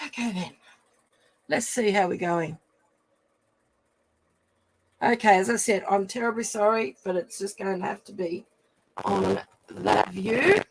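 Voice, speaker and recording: A woman with a croaky voice, chatting casually and apologetically on a home live stream while fixing her phone camera. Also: mid-range voice, a little slow, pauses often, dry recording, rough recording. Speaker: live stream craft demonstrator. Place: room at home.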